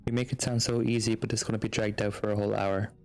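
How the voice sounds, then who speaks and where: A man's voice: fairly low pitch, little pitch movement, fast. A man streaming a video game, giving casual, wry commentary and quipping back at the characters. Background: soft game music.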